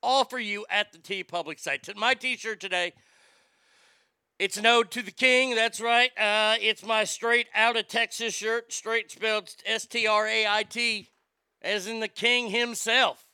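Fifties guy doing radio banter, high bright voice, crude and jokey, faint music under him. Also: steady tone, measured pace, clear, resonant tone, dry recording. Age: 50s. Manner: crude, joking. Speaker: radio show host. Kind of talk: morning radio show banter.

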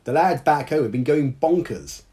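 A chatty, blustering little duck with a high, squawky voice, as a male narrator puts him on. He brags and protests with a soft, mellow tone underneath. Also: expressive, moderate pace, close to an everyday voice. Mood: cheery. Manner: blustering, boastful.

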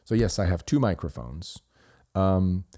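A man's voice: sits low, lively intonation, measured pace, warm tone. A middle-aged man from Switzerland, a native Swiss German speaker, hosting a podcast and earnestly answering a listener's review, rambling with restarts. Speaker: podcast host.

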